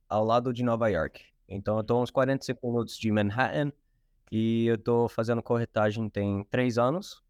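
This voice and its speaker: A man's voice: medium pitch, darkish tone, clear, resonant tone, dry recording, studio quality. Young American man, Brazilian Portuguese accent. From United States. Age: 20s. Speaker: real estate agent guest. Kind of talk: casual interview.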